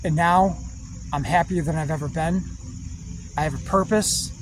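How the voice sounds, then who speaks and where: Man, rambling spiritual talk, drawn-out pauses, a bit higher-pitched, faint outdoor insect noise. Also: low-quality recording. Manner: slow, drawn-out, meditative phrasing with long pauses. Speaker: spiritual speaker.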